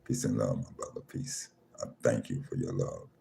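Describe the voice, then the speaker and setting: Male host, very deep dark voice with heavy fry, calm and unhurried, live-room chat. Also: slight background noise. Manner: slow, calm, repeats himself, reads names off a screen. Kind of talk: casual livestream chat, greeting listeners.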